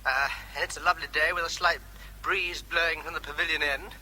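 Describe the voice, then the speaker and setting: A man's voice: on the high side, steady tone, a little fast, pushed and bright, poor audio. An actor playing a facetious, nervy army captain giving a running commentary as he works on a bomb, with faint noise behind, in a radio drama. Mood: jokey, nervy.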